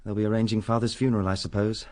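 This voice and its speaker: Young upper-class son male actor for radio drama: lowish voice, rapid, clipped, matter-of-fact. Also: flat delivery, darkish tone, lightly acted. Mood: matter-of-fact, weary.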